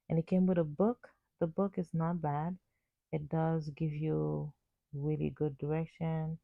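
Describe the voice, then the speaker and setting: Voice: mid-range voice, gravelly, dry recording. An amateur reviewer of unclear sex giving a casual unboxing voice-over in short, plain, slightly hesitant sentences. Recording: muffled phone-like narrow sound.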